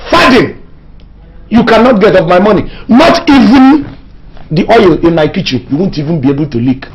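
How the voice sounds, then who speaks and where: A man's voice: high voice, sing-song, normal speed, background noise. An actor with a Nigerian accent playing a distrustful middle-aged husband and father who scolds and scorns. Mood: contemptuous. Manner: scornful, scolding, repeats himself.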